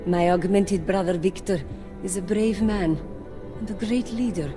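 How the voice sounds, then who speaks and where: An actress playing a fanatical cult high priestess in a video game, theatrical, pompous and reverent. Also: female voice, unhurried, natural voice. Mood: proud. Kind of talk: cinematic video game acting. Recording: dramatic music behind the voice.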